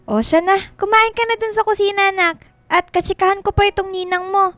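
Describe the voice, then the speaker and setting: A woman's voice: very high voice, fairly even intonation, a little fast, a bit bright, no echo. An actress playing a clingy, fussing middle-aged mother in a Filipino radio drama, motherly and full of instructions.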